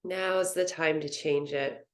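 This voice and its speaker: A woman teaching a guided meditation in a soothing, gentle, unhurried manner. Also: low-pitched, fairly even intonation. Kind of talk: guided yoga nidra meditation.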